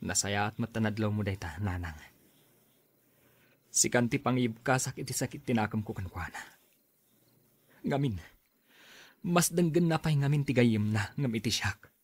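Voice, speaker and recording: A man's voice: on the low side, lively intonation, moderate pace, long pauses. A man playing an aggrieved, stubborn young husband in an Ilocano radio drama.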